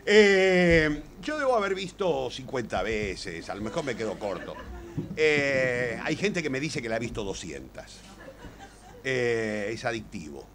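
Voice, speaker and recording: Male lecturer, Argentine accent, talking informally and haltingly, circling back over his words. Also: mid-range voice, big pitch swings, fairly bright tone. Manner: halting, rambling, with repeated words and pauses.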